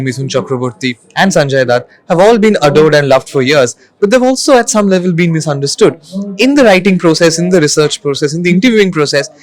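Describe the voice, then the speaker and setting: A quick-talking man with a fairly high voice. He interviews a guest at a literature festival and delivers prepared questions smoothly, without stumbling. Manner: fluent, polished questioning.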